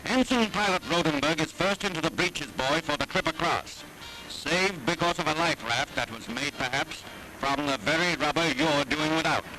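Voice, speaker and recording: Male 1940s newsreel announcer, American, higher register, dramatic delivery, music underneath. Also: normal speed, pushed and bright, rough recording. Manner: dramatic, declamatory. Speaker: newsreel narrator. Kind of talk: 1940s newsreel scripted narration.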